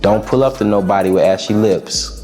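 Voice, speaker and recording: Laid-back raspy young man. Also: on the low side, a little fast, darkish tone, soft background music. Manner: laid-back, reflective, slangy. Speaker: musician guest. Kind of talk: casual interview answers.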